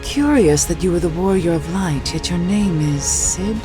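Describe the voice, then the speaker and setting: A woman's voice: fairly low pitch, normal speed, over music, close to an everyday voice. An actress playing a proud, wary witch in a video game, with formal, arch and sardonic phrasing. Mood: wary, curious. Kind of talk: scripted video game acting.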